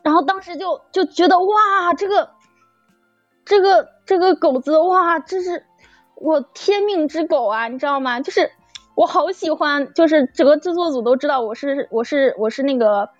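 A woman chatting casually and excitedly as a guest producer, with effusive, fangirlish exclamations. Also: high voice, moderate pace, dry recording. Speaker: audio drama producer and guest. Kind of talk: animated casual chat. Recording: dull lo-fi audio with music behind.

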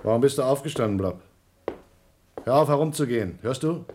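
A man's voice: medium pitch, lively intonation, fairly quick, darkish tone, slight background noise, lightly acted. An actor playing an anxious, rule-bound, devout astronaut and family man in a radio play, speaking with tense, scolding insistence.

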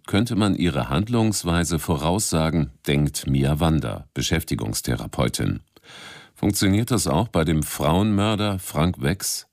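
A man reading a scripted radio drama introduction in a measured, formal announcer's manner. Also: rumbling low, a little mellow, no echo, clean studio sound. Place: dry studio.

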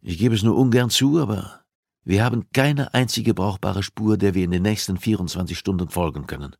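A man voicing a cold, commanding criminal profiler in an audiobook, arguing with deliberate insistence. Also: deep voice, vocal fry, a little mellow, slightly rough, dry recording, studio quality, voice pushed up, full character voice. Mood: grave, insistent. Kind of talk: audiobook dialogue acting.

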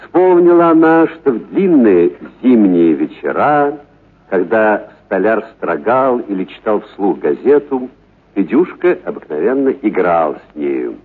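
A man reading literary prose for radio as an actor, with dramatic, deliberate pauses and stressed words. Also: fairly low pitch, sing-song, slightly rough. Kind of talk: expressive literary narration for radio. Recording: narrow, phone-like radio recording.

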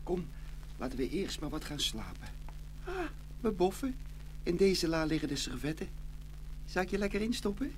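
A brave young chimney-sweep boy played by a woman in a storybook radio play. He speaks tenderly and reassuringly with a very animated melody, on a faintly noisy, humming recording. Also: deep voice, moderate pace, long pauses. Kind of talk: audio fairy-tale drama acting.

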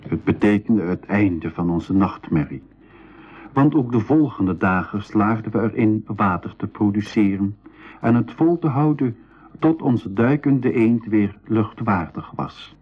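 An actor playing a thoughtful nephew who narrates his world journey in a measured way, with a Belgian Dutch accent, in a school radio play. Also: fairly low pitch, husky. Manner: measured, storytelling. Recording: phone-like, narrow 1980s radio recording.

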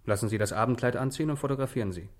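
An earnest police detective of medium pitch, played by a man in a radio play. He is deferential and slightly hesitant, yet eager to put his ideas forward. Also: rapid-fire, close to an everyday voice.

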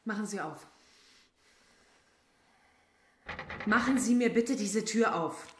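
An actress playing a guarded, defiant philosophy professor under interrogation in a radio play, terse and insistent in manner. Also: female voice, medium pitch, moderate pace, a bit bright, slightly rough. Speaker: actor in a radio drama. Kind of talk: naturalistic German radio play acting.

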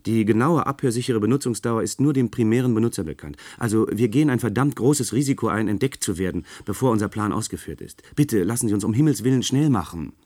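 An actor in a German radio play voicing a brisk, nervous conspirator, insistent and clipped as he explains. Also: fairly low pitch, darkish tone, dry recording, studio quality. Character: brisk, nervous male conspirator running a secret line.

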